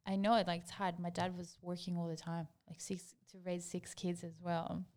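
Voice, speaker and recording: Female guest from Greece, slightly low voice, easygoing and reflective. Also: little pitch movement, normal speed, a little mellow. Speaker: guest, hat designer. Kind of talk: casual interview chat.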